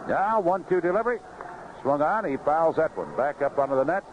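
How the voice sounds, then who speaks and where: An old 1970s radio broadcast, muffled and full of noise, carries a man calling baseball play-by-play. His voice is fairly high and swings widely in pitch. He speaks in clipped phrases with pauses between pitches. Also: expressive. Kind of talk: live radio sports commentary. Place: outdoor ballpark broadcast booth.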